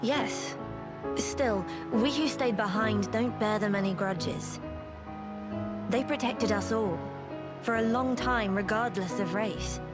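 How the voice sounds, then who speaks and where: A woman's voice: mid-range voice, slow pace, loud music behind the voice, lightly acted. Woman playing a sincere, polite townswoman. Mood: solemn, explaining. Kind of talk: video game cutscene acting.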